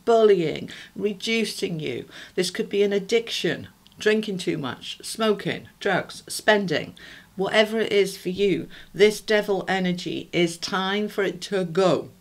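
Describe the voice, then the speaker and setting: A middle-aged British woman from the UK giving a chatty, rambling tarot reading to her viewers, her voice a little throaty and congested. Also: lowish voice. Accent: British English. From United Kingdom. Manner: chatty, rambling, addresses viewers warmly as a group. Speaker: tarot reader. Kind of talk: casual spoken tarot reading.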